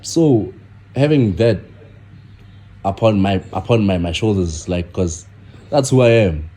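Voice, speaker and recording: Young man with a low, mellow voice. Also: expressive. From South Africa. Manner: halting, repeats himself, many fillers. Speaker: guest, content creator. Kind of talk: casual podcast interview.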